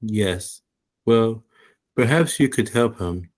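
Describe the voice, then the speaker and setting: A man playing a gentle, bashful middle-aged salesman in a 1940s-style radio play, with a hesitant, measured delivery. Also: fairly low pitch, expressive. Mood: subdued, considerate.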